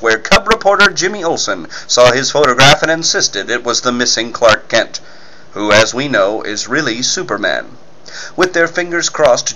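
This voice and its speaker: A man's voice: mid-range voice, pushed and bright, low-quality recording. A man reading scripted narration and ad copy as an emphatic, punchy 1940s-style radio announcer. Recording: faint background noise.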